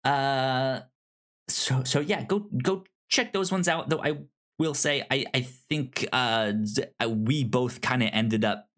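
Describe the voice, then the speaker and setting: Male podcast host, medium pitch, unhurried and stumbling over word starts, casual recommendation chat. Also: fairly bright tone. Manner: halting, stammering with repeated word starts.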